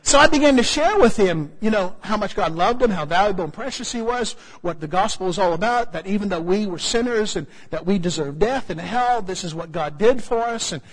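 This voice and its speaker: A male preacher with an American accent spins a funny personal story from the pulpit. His voice sits high and a little husky. It rings out through a large hall with a long reverb tail. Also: brisk, hardly any pauses. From United States. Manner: humorous, animated storytelling with asides. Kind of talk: storytelling sermon.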